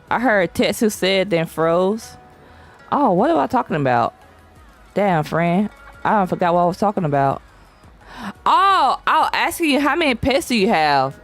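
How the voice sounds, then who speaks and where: A young woman streaming a game, chatting casually and reading chat aloud in slangy, playful talk. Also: medium pitch. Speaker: VTuber streamer. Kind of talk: casual live-stream chat while gaming.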